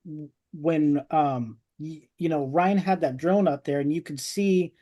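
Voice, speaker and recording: Man, podcast co-host, casual chat, slightly high voice, agreeable. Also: a little slow, warm tone. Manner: agreeable, frequent affirmations.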